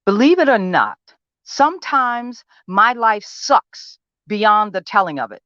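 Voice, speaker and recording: An actress as a depressed woman at the end of her rope, confronting her therapist. She speaks at a middle pitch with pointed, defiant emphasis, as in a stage drama monologue. The sound is dry and slightly dull, like an online call. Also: big pitch swings, slow pace, a bit bright, dry recording. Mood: bitter, pleading.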